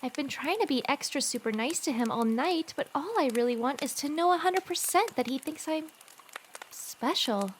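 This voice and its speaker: A woman's voice: high-pitched, animated, moderate pace, slight background noise. A woman playing a sensitive, lovelorn teenage schoolgirl, earnest and girlish, in a fan audio drama. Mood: wistful, frustrated.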